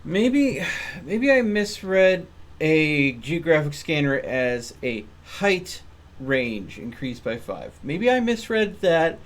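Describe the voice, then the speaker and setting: A man's voice: fairly high pitch, very animated, slow, few pauses. A man streaming a video game, thinking aloud in a casual way with mild frustration. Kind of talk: casual live gameplay commentary.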